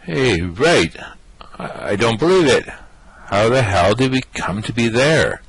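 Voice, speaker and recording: A man playing a brash, vain, excitable space rogue in a radio play, with cocky, slangy bluster. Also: mid-range voice, unhurried, slightly rough, no room sound, low-quality recording. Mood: baffled, irritated. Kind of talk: amateur radio play acting.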